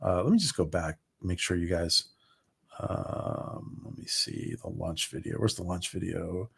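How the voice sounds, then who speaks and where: Man, lowish pitch, hoarse, casual livestream host, rambling with fillers. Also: a little slow, a bit bright, a little hiss or hum. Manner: rambling, many ums and restarts. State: voice starting to go hoarse. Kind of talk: casual livestream chat reading viewer comments.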